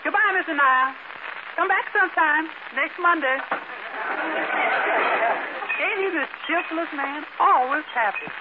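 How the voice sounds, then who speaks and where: A man's voice: very high-pitched, measured pace, pauses often, over a noisy crowd. A man playing a cheerful, sassy female housemaid with a folksy manner in a 1940s radio comedy. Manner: folksy, exclamatory, wisecracking. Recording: noisy, muffled 1940s radio recording.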